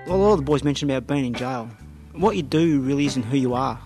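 A man talks informally about personal experience. He speaks quickly at a medium pitch over clearly heard plucked guitar. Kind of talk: unscripted personal testimony.

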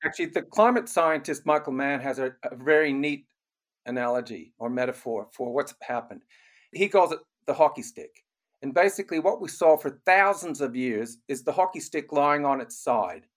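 An older man raised in Canada, an author and criminologist, giving measured, explanatory interview answers. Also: medium pitch, expressive.

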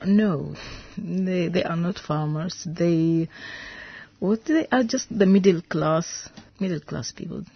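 Low, slow-spoken Sudanese woman. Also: husky, no room sound, lo-fi sound. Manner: halting, searching for words. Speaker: interview guest, veterinarian. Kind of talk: radio interview.

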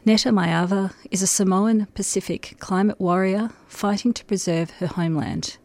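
A woman hosting breakfast radio, reading a scripted segment introduction smoothly. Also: mid-range voice, steady tone, normal speed, a little mellow, no room sound.

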